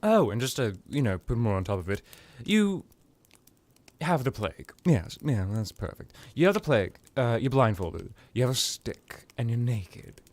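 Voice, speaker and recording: A man's voice: mid-range voice, big pitch swings, a little mellow, dry recording. A man playing a haughty, centuries-old vampire in a roleplay monologue, pompous and dramatic, talking to the listener in a rambling, wry way. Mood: mocking, incredulous. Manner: pompous, dramatic, rambling with pauses and whispered asides.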